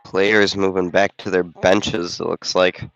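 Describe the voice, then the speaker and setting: A man's voice: low voice, flat delivery, a little slow, vocal fry, fairly bright tone, clean tone, dry recording, dull audio. A man doing casual play-by-play commentary while also running the camera, congested from a cold, chatting and thinking aloud. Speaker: volunteer game commentator and camera operator. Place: school gym during a basketball game.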